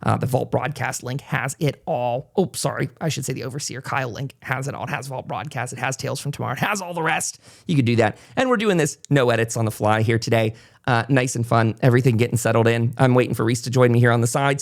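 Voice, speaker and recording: A man's voice: mid-range voice, quick pace, gravelly. A man hosting a casual, unscripted podcast in a chatty, informal manner. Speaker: podcast host.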